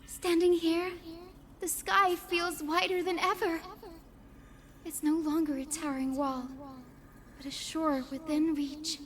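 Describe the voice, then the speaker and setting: A woman playing a gentle young fantasy heroine in a game, with lyrical, earnest, dramatic delivery. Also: very high-pitched, level tone, slow pace, pauses often. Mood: hopeful, tender. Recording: game audio under clear music.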